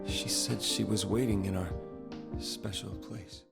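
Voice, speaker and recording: Grieving husband, subdued, hesitant, fry in a low voice, video game drama. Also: male voice, steady tone, a little slow, over music. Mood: sad, hesitant. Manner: hesitant, downcast. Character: subdued, grieving widower searching for his dead wife.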